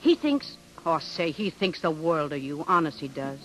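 An actress playing a rough but good-hearted older woman, slangy and brash, in a 1930s radio drama. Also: sits low, very animated, moderate pace, faint music behind. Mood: coaxing. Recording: vintage 1930s radio broadcast.

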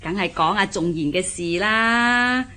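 A woman's voice: mid-range voice, measured pace, sharp tone. An actress playing an anxious, traditional older wife and mother in a Cantonese radio period drama, complaining worriedly in a Hong Kong accent.

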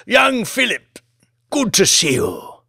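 An ancient, towering English lord for an audiobook. He is imperious and excitable, lifting his voice in theatrical swoops and stretching out his exclamations. The sound is close and dry. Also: high voice, gravelly, husky, no room sound, pitched above the performer's own voice, full character voice. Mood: delighted. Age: 169 years old. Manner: theatrical, drawn-out, exclamatory delivery. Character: imperious, excitable 169-year-old English lord, tall, grandiose. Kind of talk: audiobook character acting.

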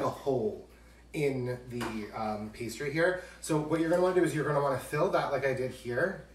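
A man hosting a casual live cooking demonstration, chatty and joking with campy asides. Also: medium pitch. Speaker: cooking show host. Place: home kitchen, indoors. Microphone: camera a few steps away. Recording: echoey, distant, noisy kitchen with dish clatter.

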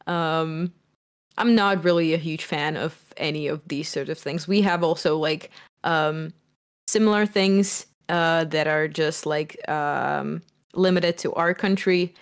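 Young woman, low voice, non-native English speaker, hesitant guest in a casual podcast chat. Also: fairly even intonation, a little slow. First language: not English. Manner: hesitant, many fillers.

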